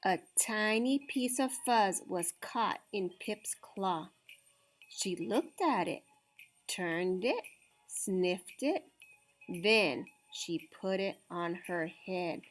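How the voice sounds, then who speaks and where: A woman reading a children's picture book aloud as a storytime reader, with expressive, dramatic, deliberate phrasing. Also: medium pitch, faint music behind.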